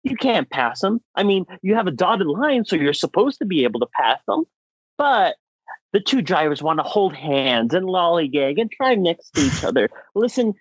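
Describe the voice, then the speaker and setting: Animated young American man ranting, dry sound. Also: high voice, moderate pace, husky, dry recording, dull audio. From United States. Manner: exasperated, emphatic, theatrical. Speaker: podcast co-host. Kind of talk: comedic rant on a podcast.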